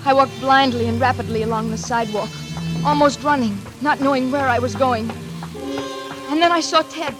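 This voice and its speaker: An actress playing an anxious, trapped daughter in a 1940s suspense radio drama, tense and dramatic, halting when flustered. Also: female voice, high-pitched, lightly acted, rough recording. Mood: fearful. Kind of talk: 1940s radio acting, first-person suspense narration. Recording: vintage radio drama with music behind.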